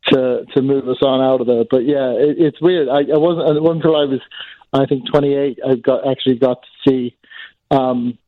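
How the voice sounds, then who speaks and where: A comedian guest who grew up in Northern Ireland and England, rambling in a self-deprecating way with plenty of fillers. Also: male voice, medium pitch, steady tone, normal speed, no room sound. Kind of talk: casual phone interview. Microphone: phone. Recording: tinny phone line.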